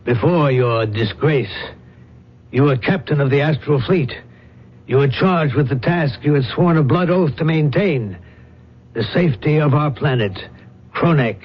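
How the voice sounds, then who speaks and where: Scheming alien planet boss, higher raspy voice, measured and formal, muffled old radio show. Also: male voice. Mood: cool, formal. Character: cool, calculating male controller of an alien planet. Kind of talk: old-time radio drama acting. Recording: dull, muffled radio drama recording.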